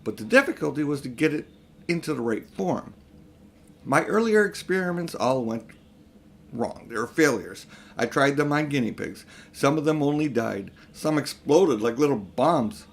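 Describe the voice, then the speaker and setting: Elderly storybook magician, male, acted by a man reader: vain, grandiose, self-important, very animated pitch. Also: moderate pace, vocal fry. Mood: boastful. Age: older. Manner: grandiose, self-important, indignant when challenged. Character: pompous, vain, selfish elderly magician uncle. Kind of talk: storybook character reading.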